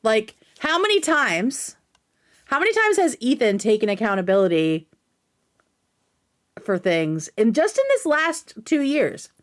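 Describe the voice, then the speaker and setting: A woman's voice: fairly high pitch, sing-song, a little slow, long pauses. A woman hosting a casual livestream commentary, speaking in a sarcastic, emphatic way with plenty of slang. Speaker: livestream host.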